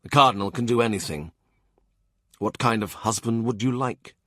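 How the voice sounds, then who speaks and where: Casting: male reader as a cardinal's shrewd aide and widowed father, gentle and understated, dry studio sound. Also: mid-range voice, moderate pace, no room sound, lightly acted. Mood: assured, wry.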